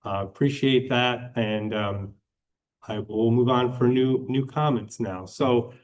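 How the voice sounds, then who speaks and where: A man presiding as hearing officer at a public hearing, speaking in a measured, procedural way with frequent hesitations. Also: medium pitch, darkish tone, rough recording.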